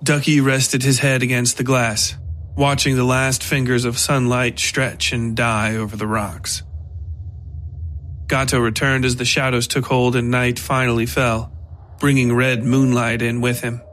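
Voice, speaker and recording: A man's voice: mid-range voice, a bit bright, slight background noise. A man reading a scripted horror story as its narrator. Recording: music behind the voice. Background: music.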